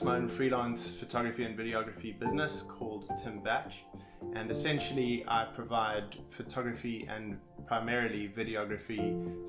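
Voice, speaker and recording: A man from South Africa, a freelance videographer, talking casually and steadily about his work. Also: fairly low pitch. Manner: relaxed, steady, with fillers. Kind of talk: casual talk to camera. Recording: roomy sound with loud guitar music. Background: guitar music.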